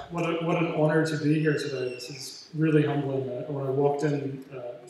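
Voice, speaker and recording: A man's voice: medium pitch, darkish tone. A man around forty with a Canadian accent gives an informal, self-effacing graduation speech in a large hall, speaking hesitantly. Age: late 30s to early 40s. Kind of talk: informal motivational speech with personal story. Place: large hall with a big audience. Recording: very echoey hall, distant, faint noise.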